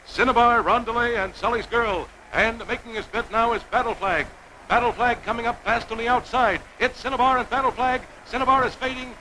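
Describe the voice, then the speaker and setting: An actor playing an excitable horse race announcer in a radio play, calling in clipped, urgent phrases. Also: male voice, very high voice, fairly quick, slight background noise, rough recording.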